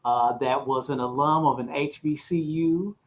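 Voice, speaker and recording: Podcast host, male, middle-aged American, fairly high voice, loose conversational rambling with slangy asides. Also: steady tone, a little slow. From St. Louis, USA. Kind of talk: casual chat.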